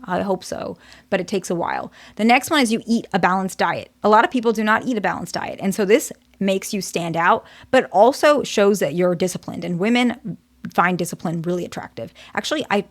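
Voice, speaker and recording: A soft-spoken woman with a quiet voice, a therapist hosting her channel, giving casual, candid relationship advice to her viewers, often pausing and restarting her phrases. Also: lowish voice. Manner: candid, hedging, talks in short restarting phrases. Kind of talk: casual advice talk to camera.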